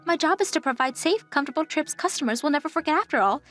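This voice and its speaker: A woman performs a young travel agent in a manga-style drama. She sounds kind and professional, courteous and composed with a gentle firmness. Soft music plays underneath. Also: sits high, lively intonation, fast talker. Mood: sincere. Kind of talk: anime-style manga dub acting.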